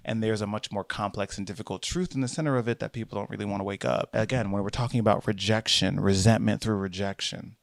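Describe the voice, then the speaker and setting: A young American man talks through a solo commentary in a slightly low voice, rambling from point to point and leaping up into high mocking tones when he quotes others. The sound is close and dry, with no room in it. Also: creaky, no echo. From United States. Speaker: podcast host.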